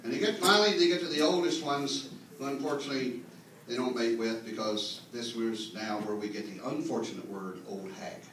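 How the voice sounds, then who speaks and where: A man's voice: medium pitch, fairly quick. A man reading a tongue-in-cheek mock history as a lecture to a gathering, with mock-earnest explaining and folksy asides. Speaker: speaker reading a humorous mock history at a clan meeting. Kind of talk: tongue-in-cheek comic lecture read to an audience. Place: indoor meeting room with live audience. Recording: very echoey room, distant mic, faint noise.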